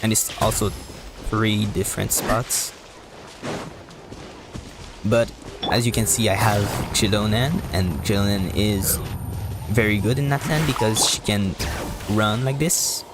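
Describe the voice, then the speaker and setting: A man giving casual, halting and rambling live commentary as a video game streamer, often repeating words. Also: on the low side, over music.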